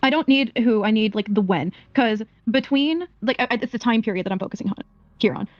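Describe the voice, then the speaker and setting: A woman's voice: on the high side, fast, no echo. A woman questioning someone on a voice call, probing and pointed, often restarting her phrases as she presses a question. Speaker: questioner on a call-in stream panel. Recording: dull lo-fi voice call audio.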